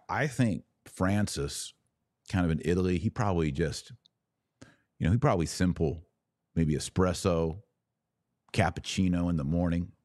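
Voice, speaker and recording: A man's voice: sits low, darkish tone, no room sound, clean studio sound. A man with an American accent hosting a podcast, giving casual, conversational solo commentary with wry, informal asides. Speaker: podcast host and religious commentator.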